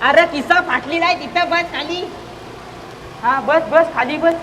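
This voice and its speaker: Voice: high-pitched, a little slow, rough recording. An amateur stage performer playing an exasperated, bullying villager, scolding and firing repeated questions in a comic play. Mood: threatening, bossy. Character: exasperated, bullying villager demanding his money back. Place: school stage event, live telecast.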